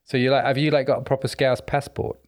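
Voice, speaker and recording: A man hosting a podcast, chatting in a friendly, teasing way with quick restarts. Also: medium pitch, flat delivery. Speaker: podcast host. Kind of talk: casual interview chat.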